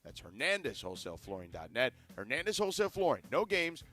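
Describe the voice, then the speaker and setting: A man co-hosting a sports talk radio show, arguing basketball points in casual debate and switching to an energetic live ad read. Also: quick pace, faint music behind. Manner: animated, pitchman energy in the ad read.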